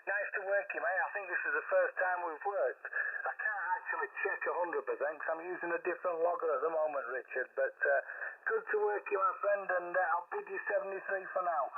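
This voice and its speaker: A man's voice: high-pitched, fairly even intonation, a little fast, slightly rough, phone-quality sound. A man chatting cheerfully on an amateur radio contact. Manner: cheerful, chatty, calls people "mate". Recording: distant radio signal, phone-thin with hiss.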